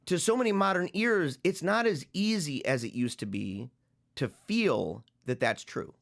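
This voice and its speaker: A man in his forties, a podcast host musing on a book in rambling solo commentary, often halting and repeating phrases as he thinks aloud. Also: fairly high pitch, expressive, vocal fry, fairly bright tone. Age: 40s.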